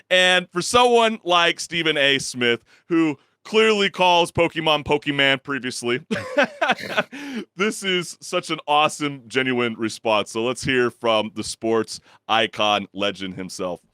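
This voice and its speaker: A young man co-hosting a gaming talk show, who reads viewer comments aloud and laughs often in a low baritone that sometimes squeaks when he gets excited. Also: takes it slowly, clean tone, no room sound, clean studio sound. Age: young adult. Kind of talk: casual chat.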